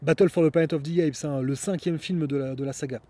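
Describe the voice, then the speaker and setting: A man's voice: fairly high pitch, fairly even intonation, fast, warm tone. A man hosting a solo podcast outdoors, talking casually and rambling with many fillers. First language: French. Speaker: podcast host.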